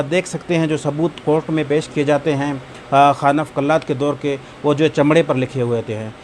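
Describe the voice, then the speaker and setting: Male law lecturer, Pakistani accent, fairly high voice, explains by question and repetition, noisy recording with background hum. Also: a little mellow. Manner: repeats key points with rhetorical questions. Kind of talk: explanatory lecture mixing in English legal terms.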